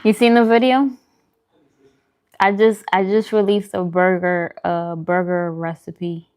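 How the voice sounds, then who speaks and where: A woman's voice: lowish voice, level tone, a little slow, a little mellow, clean tone. A woman chatting informally with her live-stream viewers, answering comments as she goes. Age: adult, parent. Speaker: food vlogger and live streamer.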